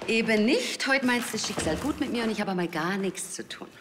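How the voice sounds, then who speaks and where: An actress playing a relaxed, wry pathologist with a Bavarian accent in a TV crime comedy. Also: female voice, fairly high pitch, fairly quick, background noise, natural voice. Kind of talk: television crime comedy acting.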